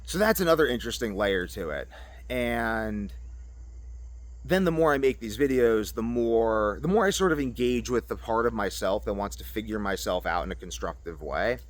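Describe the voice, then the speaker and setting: A man's voice: fairly low pitch, lively intonation, moderate pace. A man vlogger talking off the cuff in a casual monologue, rambling as he thinks aloud. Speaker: YouTube vlogger.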